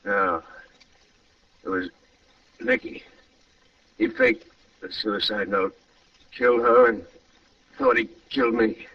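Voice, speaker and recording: A man acting a wounded crook who speaks weakly and haltingly in an old radio drama. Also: fairly low pitch, wildly swooping pitch, creaky, distant mic, heavily acted. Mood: pained, halting. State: weakened, halting speech. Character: wounded crook, weak and gasping. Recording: muffled old radio recording, off-mic.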